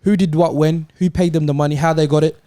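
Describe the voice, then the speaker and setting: A man's voice: fairly high pitch, fairly even intonation, fast, mellow, dry recording, studio quality. A man on a football panel chatting casually, insistent and opinionated. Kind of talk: casual chat.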